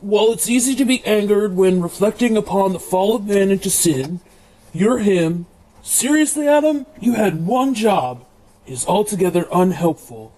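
A man's voice: sits high, lively intonation, normal speed, dark tone, poor audio. A man playing an overly eager, irreverent worship leader with a slangy, upbeat manner in a comedy radio sketch. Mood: reading aloud. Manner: slangy, upbeat, reads letters aloud.

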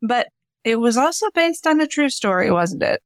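Woman doing a wry imaginary ghost friend in a comic radio play, kinda high voice, dry close sound. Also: sing-song, moderate pace, dry recording. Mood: teasing, counseling. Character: wry, matter-of-fact female friend, an imaginary ghostly visitor. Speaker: podcast co-host. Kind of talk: amateur comic radio theater acting.

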